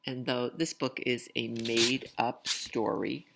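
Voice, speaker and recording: A woman's voice: rumbling low, fairly even intonation, slow pace, vocal fry, fairly bright tone, a little hiss or hum. A woman reading a picture book aloud expressively, with casual asides to young listeners. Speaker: read-aloud storyteller.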